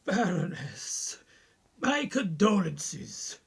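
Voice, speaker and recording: Husky, creaky professor played by a woman. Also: on the low side, very animated, extremely slow, exaggerated voice. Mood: sympathetic, courteous. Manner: formal, questioning. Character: concerned, courteous professor, a sceptical family friend. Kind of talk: radio play acting.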